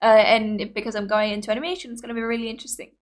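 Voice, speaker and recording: A young woman whose first language is Greek, hosting a reaction video in an excitable, chatty way full of fillers. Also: on the high side, very fast. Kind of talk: casual reaction chat.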